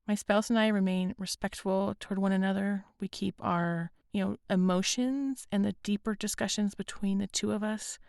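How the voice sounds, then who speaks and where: A woman hosting a podcast, speaking earnestly and gently with a raspy, hoarse voice. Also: mid-range voice, no room sound, clean studio sound. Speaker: podcast host. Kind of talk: scripted podcast intro and interview.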